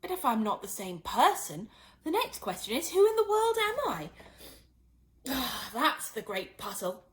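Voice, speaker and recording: A woman's voice: on the high side, sing-song, unhurried. A woman voicing an earnest, muddled young girl who frets and scolds herself in a storybook reading. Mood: puzzled, anxious.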